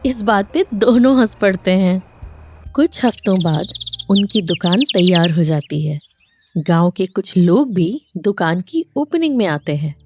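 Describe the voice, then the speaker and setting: Female storyteller: soft-toned, mid-pitched, scripted cartoon narration. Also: lively intonation, unhurried, soft background music.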